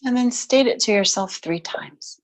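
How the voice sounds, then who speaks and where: Woman softly guiding bedtime yoga relaxation. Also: on the high side. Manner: soothing, unhurried, with long pauses between short phrases. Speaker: yoga nidra and stretch instructor. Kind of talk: guided relaxation and gentle yoga instruction.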